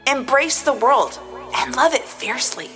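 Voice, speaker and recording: A woman's voice: sits high, animated, normal speed, music in the background. A woman co-hosting a podcast, speaking in a theatrical, emphatic and sardonic way. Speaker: podcast co-host. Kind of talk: mock-dramatic reading of tweets, mixed with casual chat.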